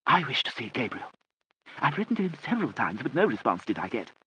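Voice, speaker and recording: A man reading an audiobook, voicing a vague, hard-of-hearing elderly aristocratic aunt who talks in a soft, wandering, genteel way. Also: mid-range voice, big pitch swings, fast talker, slight background noise, pitched above the performer's own voice, rough recording.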